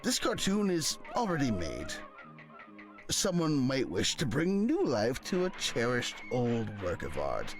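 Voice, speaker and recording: A man's voice: medium pitch, wildly swooping pitch, a little slow, faint music behind. A man playing a haughty, condescending film snob in a visual novel game, with a pompous, patronizing, theatrical manner.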